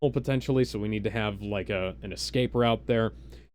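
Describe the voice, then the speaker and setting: A man hosting a live stream, casually explaining things in a halting, rambling way with mock-serious asides. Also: lowish voice, darkish tone, slight background noise. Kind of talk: casual live introduction, explaining party game rules.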